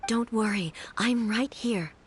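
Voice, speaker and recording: Solemn, regal warrior ruler. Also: female voice, a little high, a little hiss or hum. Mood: resolute. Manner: formal, measured, commanding. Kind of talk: video game voice acting.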